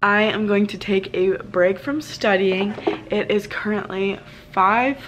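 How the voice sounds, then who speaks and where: A woman's voice: medium pitch, slow pace, music in the background, rough recording. A college student in her twenties, vlogging casually and upbeat to a handheld camera, chatting to her dog as she goes. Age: 20s. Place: dorm room, indoors. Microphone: camera held at arm's length.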